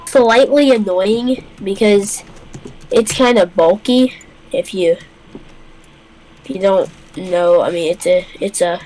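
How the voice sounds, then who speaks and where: A woman hosting a relaxed chat with a stuffy, congested voice. She wanders through her points, halting often and filling the gaps with ums and likes. Her pitch sits in an ordinary middle range. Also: low-quality recording. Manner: rambling, hesitant, full of fillers. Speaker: host. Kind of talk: casual chat.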